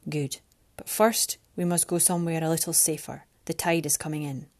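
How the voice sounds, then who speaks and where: A woman voicing a proud, cold winged man who is about to rule, speaking low and clipped. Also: fairly even intonation, moderate pace, a little mellow. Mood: stern.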